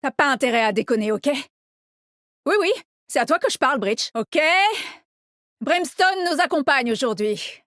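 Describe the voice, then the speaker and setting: A woman's voice: high-pitched, expressive, moderate pace, pauses often, a bit bright, dry recording, clean studio sound. An actress playing a cocky, teasing female squad leader in a video game, with a confident, brash and punchy delivery. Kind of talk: video game character voice lines.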